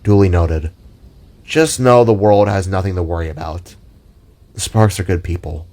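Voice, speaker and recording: A man's voice: low voice, moderate pace, darkish tone. A man voicing a wry, loyal male hero who speaks briefly and reassuringly. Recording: music under the voice.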